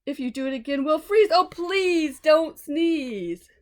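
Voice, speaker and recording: Woman voicing a high, pleading little fish. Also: expressive, measured pace. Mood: worried, pleading. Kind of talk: children's storybook read-aloud.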